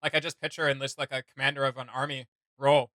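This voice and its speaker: A woman's voice: a bass voice, level tone, racing, a bit bright, no room sound, studio quality. A woman chatting casually as a reaction video host, informal and enthusiastic, feeling her way through her thoughts.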